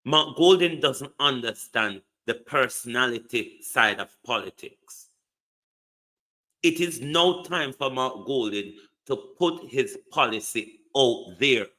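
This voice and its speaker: A man's voice: mid-range voice, lively intonation, takes it slowly, sharp tone. A man giving an emphatic political commentary monologue, full of repeated rhetorical questions. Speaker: online commentator.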